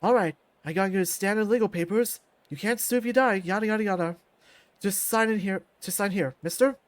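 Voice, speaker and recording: A man's voice: high voice, a little fast, natural voice. A man voicing a sleazy, brash fight ring announcer who hypes up the bets in a visual novel story. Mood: brisk, dismissive. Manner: brash showman hype.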